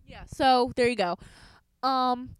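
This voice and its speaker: A woman's voice: fairly high pitch, slow, slight background noise. A young woman co-hosting a podcast, chatting casually and rambling with fillers and restarts. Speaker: podcast co-host. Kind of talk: casual chat.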